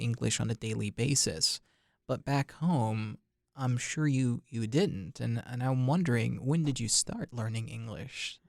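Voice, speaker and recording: A male podcast host asks friendly questions, stumbling through restarts and laughing easily. His voice has a gravelly fry, recorded close and dry with no room sound. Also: mid-range voice, darkish tone, dry recording. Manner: hesitant restarts, laughs a lot, encouraging. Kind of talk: casual interview chat.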